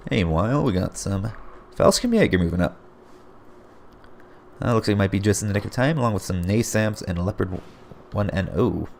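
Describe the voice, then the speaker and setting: A man casting a strategy game replay as play-by-play commentary, his voice hoarse and getting raspy, often breaking into surprised exclamations. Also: fairly low pitch, normal speed, long pauses, slight background noise. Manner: excitable, frequent surprised exclamations. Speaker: game caster.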